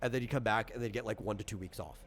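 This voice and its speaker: Low-voiced man explaining game rules, background noise. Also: rapid-fire. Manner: informal, explanatory, illustrates with hypothetical examples. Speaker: game designer and game master.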